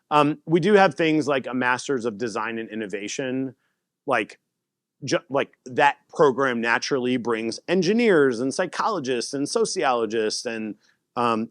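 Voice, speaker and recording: A man giving a casual conference talk and answering audience questions, animated and laughing often. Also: medium pitch, dry recording, clean studio sound. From United States. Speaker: presenter.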